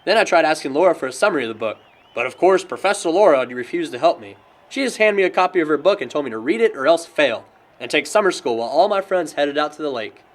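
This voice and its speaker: A man plays a sarcastic young American guy in a naturalistic indie drama. His voice is fairly high and swings a lot in pitch, with a wry, bookish edge among friends. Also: lively intonation, fast, lightly acted. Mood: reminiscing. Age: young adult. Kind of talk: naturalistic amateur film dialogue.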